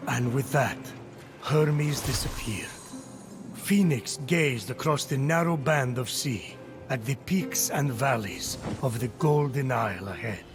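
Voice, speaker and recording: A slow, mid-pitched male storyteller narrates a heroic game myth over music. His delivery is measured, grand and portentous. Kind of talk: theatrical scripted game narration.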